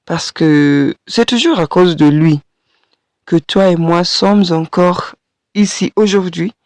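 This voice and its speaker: A woman in her thirties talks straight to her listener in a solo studio podcast, her voice hoarse and husky from a cold. She speaks very slowly and gently, with encouraging warmth and many small hesitations. The recording is dry and close, with no room sound. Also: on the low side, no room sound. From Kenya. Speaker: podcast host and French teacher. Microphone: studio microphone.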